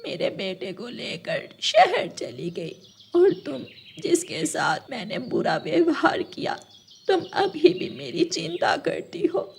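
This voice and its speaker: An elderly mother-in-law bird from a children's storybook, acted out by a woman. Her manner is plaintive and pleading, in a high feminine voice. Birdsong and noise sit behind her. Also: huge pitch swings, takes it slowly, vocal fry, exaggerated voice. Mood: tearful, remorseful. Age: older. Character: old mother-in-law bird, harsh, later weepy and remorseful.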